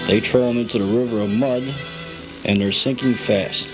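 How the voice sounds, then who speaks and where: A man's voice: on the low side, fairly even intonation, moderate pace. Man guiding through a story, music behind. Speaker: guest, hobbyist showing the village they built. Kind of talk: informal guided storytelling. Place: at home, indoors.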